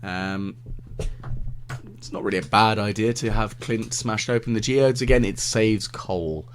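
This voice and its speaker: A low, deep-voiced British man talks through a game in a relaxed, rambling way. His delivery is gentle and meandering, with wry little asides. Some background noise sits faintly but noticeably behind his voice. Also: measured pace. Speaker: let's play video host. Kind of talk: relaxed rambling gameplay commentary.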